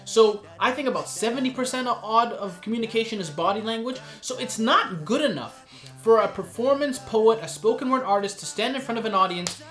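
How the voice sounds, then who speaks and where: A man's voice: high-pitched, fairly quick, fairly bright tone, soft background music. Guy teaching a course, earnest and conversational, echoey room. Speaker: course host and instructor. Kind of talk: recorded course lecture on performance.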